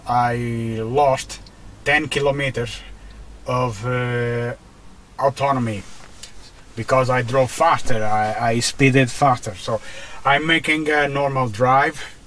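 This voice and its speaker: A man's voice: mid-range voice, fairly even intonation, takes it slowly, a bit bright. A man with a Portuguese accent narrating a car test as a vlogger, hesitant, with many fillers and restarts, recorded inside a car. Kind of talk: casual narration while driving.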